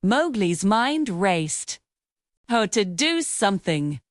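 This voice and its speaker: A woman narrating a scripted children's bedtime story in an expressive, dramatic storytelling manner. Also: medium pitch, slow, dry recording, clean studio sound.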